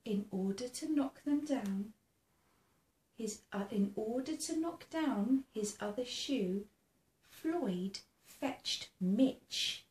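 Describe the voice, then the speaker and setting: Animated, high-voiced woman reading a picture book. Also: slow pace. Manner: theatrical, with gasps and exclamations for young listeners; asks the listening child questions. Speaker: storybook reader. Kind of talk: expressive read-aloud of a children's picture book. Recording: roomy sound with faint background noise.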